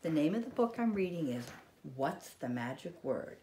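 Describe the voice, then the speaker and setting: A middle-aged American woman reading a children's storybook expressively, with drawn-out dramatic emphasis and hushed asides. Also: low-pitched, big pitch swings, a little hiss or hum. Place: living room, indoors. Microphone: camera a short distance away. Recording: echoey home room.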